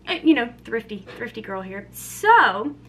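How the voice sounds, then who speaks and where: A woman's voice: on the high side, sing-song, unhurried, a bit bright. A young woman talking casually and upbeat to camera in her home kitchen, full of fillers, filmed close up. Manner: chatty, upbeat, filler-heavy with lots of "like" and "um". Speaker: lifestyle vlogger. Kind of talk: casual chatty vlog. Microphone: camera close up at arm's length.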